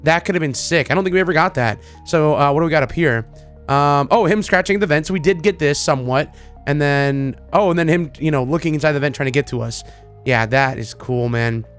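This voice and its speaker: Higher-pitched man, enthusiastic gaming commentator. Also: brisk, a bit bright, smooth, faint music behind. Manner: informal, enthusiastic, rambling. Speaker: gaming video creator. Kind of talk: casual excited commentary on pictures.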